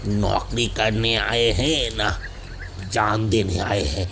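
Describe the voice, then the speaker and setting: A gravelly male servant, played by a man, speaks slowly, loyal and grim. His voice is low and rough. It is an audio drama with faint background noise. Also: fairly even intonation, a bit bright, heavily acted.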